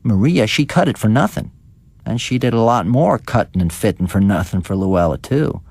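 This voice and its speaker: A man's voice: lowish voice, lively intonation, normal speed, creaky, darkish tone, no room sound. A man voicing an elderly, indignant village gossip with a folksy, rustic manner of speech in a dramatised story reading. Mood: gossipy, wry. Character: elderly unmarried village woman gossiping indignantly about neighbours.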